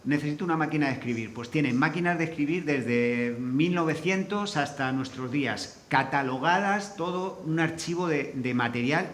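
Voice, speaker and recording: A man's voice: fairly high pitch, moderate pace, hardly any pauses, a bit bright. A man with a Spanish accent from Spain talks on a discussion panel in a colloquial, blunt way, without a script. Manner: colloquial, blunt, mild swearing. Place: live forum venue. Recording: echoey room, faint background noise.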